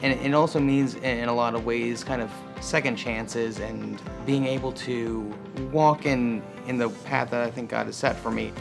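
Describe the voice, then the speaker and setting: A thirty-year-old man giving a reflective, unhurried testimonial about his church group. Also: medium pitch, steady tone, creaky. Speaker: church young adults group member. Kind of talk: testimonial interview. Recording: music bed underneath.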